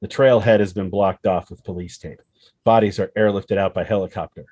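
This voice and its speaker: A man's voice: low-pitched, steady tone, fairly quick, a little mellow, dry recording. A man narrating a screenplay, reading out scene headings and action descriptions as scripted storytelling.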